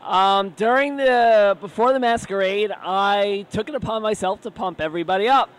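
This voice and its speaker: A man guest in casual chat, his voice raspy and hoarse from cheering, excitable and playful. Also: sits high, moderate pace.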